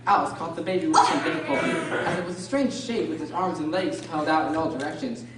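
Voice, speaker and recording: Animated woman narrator in a noisy room. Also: low voice, fast. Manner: expressive, theatrical storytelling. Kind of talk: scripted storybook narration for radio drama. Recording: echoey room, distant mic, loud noise.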